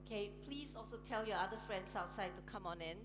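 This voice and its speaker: A woman's voice: mid-range voice, lively intonation, quick pace. Woman panel moderator in a big reverberant hall with music behind her, chatty, lots of ums. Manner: chatty, laughs often, many ums. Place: large conference hall on stage.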